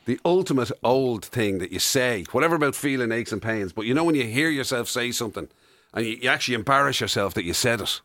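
Animated middle-aged Irish man, close dry sound. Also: medium pitch, fast talker, dry recording. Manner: rambling observational storytelling. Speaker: radio show co-host. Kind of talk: casual comic banter.

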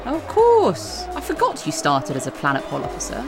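An actress playing a wealthy female media star who flatters breezily, with music underneath. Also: mid-range voice, normal speed. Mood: flattering. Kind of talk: audio drama acting.

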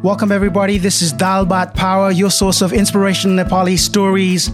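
A man hosting a podcast, chatty and jokey, throwing in quick questions. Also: high-pitched, flat delivery, music in the background. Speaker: podcast host. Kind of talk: casual podcast chat.

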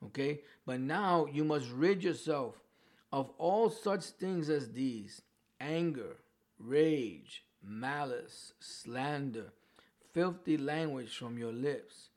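A man's voice: medium pitch, expressive, slow, darkish tone. A man with a New York accent teaching a Bible passage in a loose, conversational way, often restarting his phrases and checking in with "right?" and "you know". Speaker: preacher. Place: home with a dog barking in the background.

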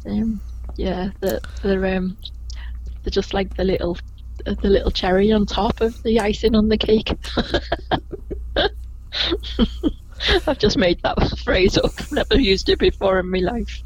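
A woman with a gentle Scouse accent talks easily in a casual podcast interview. She laughs a lot and trails off into ums while she thinks. A faint hiss sits under the recording. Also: medium pitch, a little slow, long pauses, poor audio. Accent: gentle Liverpool (Scouse). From Liverpool, England. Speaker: tour guide guest.

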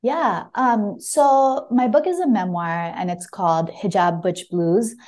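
A woman's voice: mid-range voice, a little slow, a little mellow. A woman talking as a guest author about a memoir, reflective and conversational, with frequent small hedges. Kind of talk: casual book talk and reading from own memoir.

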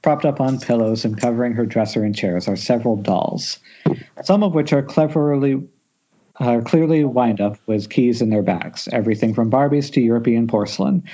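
A man's voice: medium pitch, moderate pace, mellow. A man reading stage directions as narrator at an amateur table read, recorded over a muffled internet call.

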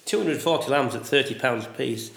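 A man who is a sheep farmer, talking casually in a loud voice and often ending with tag questions. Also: medium pitch, brisk, vocal fry, reverberant. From England. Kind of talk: casual conversation.

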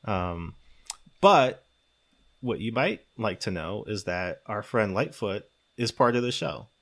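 A man's voice: lowish voice, animated, slow, a bit bright. A man hosting a podcast, talking casually as he gives recommendations. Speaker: podcast host.

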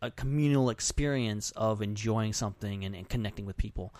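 A man's voice: lowish voice, brisk, a little mellow, no room sound. A man hosting a casual podcast chat, talking in an effusive, affirming way. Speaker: podcast host.

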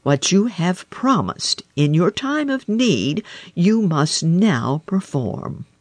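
A woman's voice: fairly low pitch, big pitch swings, slow pace, no echo, full character voice. A woman voicing a stern older king and father in a storybook, speaking in a commanding way. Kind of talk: storybook reading.

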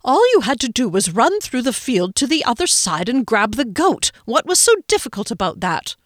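A pompous gentleman schemer, put on by a woman reader, full of old-fashioned airs and brisk exasperation. His voice rides up and down with lively emphasis, heard dry and close. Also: on the high side, no room sound, pitched above the performer's own voice. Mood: exasperated.

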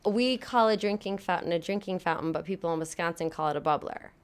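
A woman's voice: lowish voice, quick pace, clean tone. A woman hosting a casual chat, curious and playful, asking many questions.

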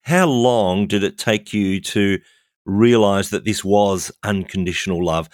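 A man hosting an interview, asking gently probing, thoughtful questions. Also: lowish voice, measured pace, no echo, studio quality. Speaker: podcast host.

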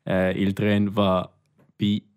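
A man's voice: on the low side, completely flat delivery, slow pace, a little mellow, clean tone. A man with a Swiss German accent, chatty and joking, learning words and repeating them back in a casual lesson. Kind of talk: casual language lesson chat.

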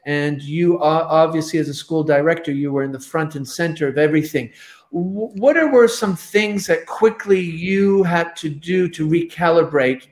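Male host, guides questions, slightly high voice, echoey room. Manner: welcoming, guiding questions. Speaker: podcast host. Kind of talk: podcast interview.

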